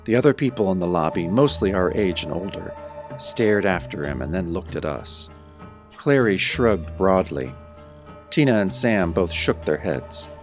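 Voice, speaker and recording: A man's voice: deep voice, expressive, measured pace, faint music behind. A man playing a brooding young werewolf who narrates his own story in a wry, dramatic radio-drama style. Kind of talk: first-person audio drama narration, old radio show style.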